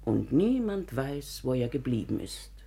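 An actress playing a devoted, excitable household companion in a classical German radio drama, with declamatory, emphatic storytelling. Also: female voice, a bass voice, unhurried, vocal fry, slightly rough, full character voice. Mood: dramatic, troubled.

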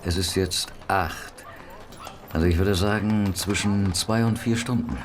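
Low-voiced, dry medical examiner. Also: male voice, measured pace, slight background noise. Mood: clinical, absorbed. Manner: matter-of-fact, clinical, drily sardonic. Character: dry, unflappable Victorian police doctor. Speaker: actor in an audio drama. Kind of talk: German radio play acting.

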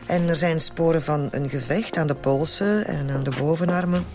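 An actress with a Flemish accent playing a brisk, teasing forensic doctor in a radio drama. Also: female voice, low voice, darkish tone, soft background music, lightly acted. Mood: clinical.

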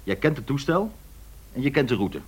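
Brusque, husky airline director. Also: male voice, medium pitch, big pitch swings, normal speed. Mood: brisk, commanding. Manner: curt, authoritative, probing. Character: brusque, commanding male airline director, businesslike.

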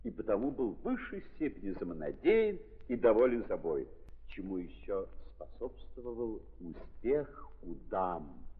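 A man narrating as a grave, ironic storyteller in a theatrical 1930s stage style, with emphatic dramatic pauses. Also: on the low side, very animated. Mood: wry, ironic. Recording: muffled 1930s recording, long reverb.

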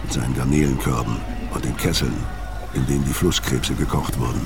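A man's voice: a bass voice, a little fast, vocal fry, very noisy. An actor playing a brooding male criminal who narrates his own story in a radio crime drama, scripted and first-person. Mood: descriptive. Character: hard-boiled male criminal narrator looking back, gruff and brooding.

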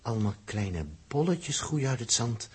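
A man's voice: mid-range voice, animated, unhurried, darkish tone, slightly rough. A man with a Netherlands Dutch accent acting an irritable, stubborn spaceman in a 1960s science-fiction radio drama. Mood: uneasy. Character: male spaceman Dirk, irritable, stubborn, bossy.

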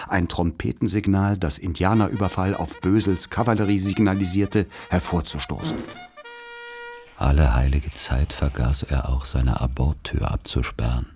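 A man playing a wry narrator who recalls his boarding-school boyhood, in measured literary radio play narration. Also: sits low, darkish tone.

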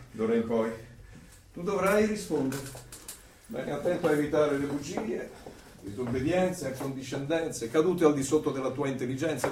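Radio drama interrogator male actor: controlling, didactic, quietly threatening, slightly raised pitch, roomy distant sound. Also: close to an everyday voice. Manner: measured, didactic, menacing, authoritative. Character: cold, controlling male interrogator and torturer of the regime. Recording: roomy, distant, faint noise.

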